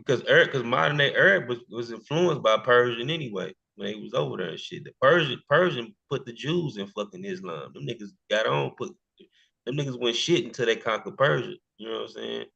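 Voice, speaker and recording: A man's voice: medium pitch, fairly quick, gravelly, bright, forceful tone, dull audio. A man in a casual podcast chat, talking in slangy, profane street speech about history and ancestry.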